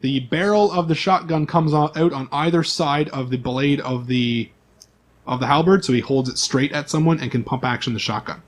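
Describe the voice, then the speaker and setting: A man's voice: on the high side, moderate pace. A man acting as game master, reading out character profiles in an informal, list-like way with asides.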